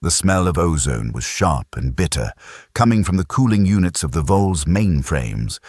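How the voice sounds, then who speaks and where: Very deep-voiced male audiobook narrator. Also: husky, dry recording, studio quality. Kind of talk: scripted dramatic audiobook narration.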